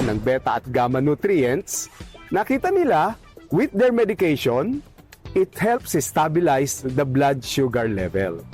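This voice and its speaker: Animated, higher-pitched male doctor. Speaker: doctor giving health advice. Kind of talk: explanatory sponsored health segment.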